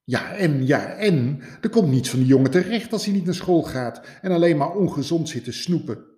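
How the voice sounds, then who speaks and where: A man's voice: mid-range voice, expressive, slow pace, a little mellow, voice pushed up. A man voicing an anxious, fussy male rabbit father in a storybook, scolding and fretful. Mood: indignant. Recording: roomy echo.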